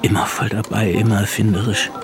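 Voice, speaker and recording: A man's voice: fairly low pitch, little pitch movement, a little fast, loud music behind the voice. A man delivering scripted narration in a radio drama.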